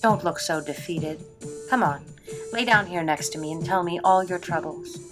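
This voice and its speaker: A woman's voice: on the low side, normal speed, music in the background, natural voice. A woman playing a rejuvenated old flame in an audio drama, teasing, earnest and wistful. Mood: teasing, playful. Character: intense young woman, a magically rejuvenated old lover, wistful.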